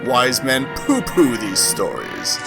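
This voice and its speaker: A man's voice: medium pitch, wildly swooping pitch, slow pace, gravelly, over music. A man co-hosting a podcast, switching playfully between joking banter and mock-dramatic narration.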